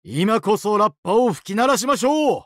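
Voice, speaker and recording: Weepy cruel doomsday angel in a game, super polite and theatrical, highish voice, very dry close sound. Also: male voice, lively intonation, brisk, dry recording. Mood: manic glee. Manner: exaggeratedly polite, dramatic, swinging between sorrow and glee. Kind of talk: Japanese mobile game character acting.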